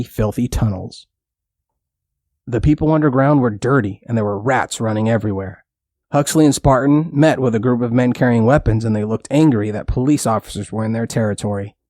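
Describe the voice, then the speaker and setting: A man's voice: mid-range voice, mellow, no echo, studio quality. A man narrating a scripted bedtime story for children in plain, simple storytelling language.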